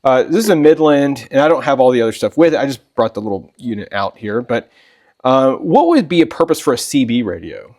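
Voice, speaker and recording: A man's voice: mid-range voice, sing-song, a little fast, a little mellow. A man hosting a casual interview, talking informally and often repeating or echoing short phrases. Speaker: host.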